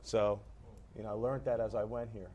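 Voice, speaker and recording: A man from Ghana, a guest on a community talk show, speaking eagerly and warmly to a young audience. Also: lowish voice, little pitch movement, fairly quick.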